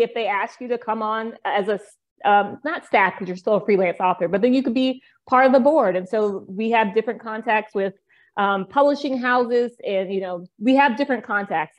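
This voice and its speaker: A woman book coach talking as a guest in a casual interview, rambling with many fillers. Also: mid-range voice.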